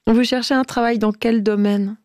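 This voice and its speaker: A woman hosting a radio interview, asking encouraging, gently probing questions. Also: fairly high pitch, steady tone, moderate pace, no room sound, studio quality. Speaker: radio host.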